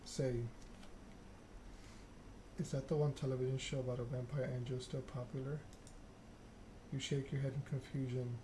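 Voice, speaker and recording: A man's voice: medium pitch, level tone, moderate pace, long pauses, noisy background. A man doing an ASMR read-aloud of a narrative game, occasionally stumbling over the written text. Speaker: gaming channel host.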